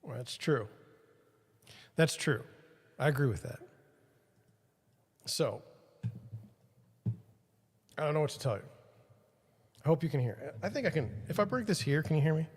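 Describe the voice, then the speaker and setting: A man's voice: on the high side, lively intonation, unhurried, pauses often, husky. Self-deprecating man in an echoey room. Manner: self-deprecating, rambling, talks to viewers. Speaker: podcast host. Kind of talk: casual self-talk while fixing gear on a livestream. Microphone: studio mic with changing levels.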